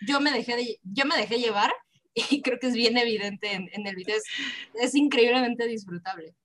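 A woman's voice: on the high side, moderate pace, a bit bright. A Mexican woman co-hosting a live stream show, chatting casually and reading out fan messages with frequent laughter. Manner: laughing, playful. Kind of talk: casual chat, reading superchats.

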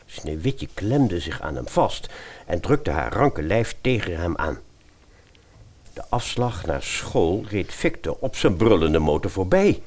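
A man narrating a scripted story in an expressive storytelling style. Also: low-pitched, slow.

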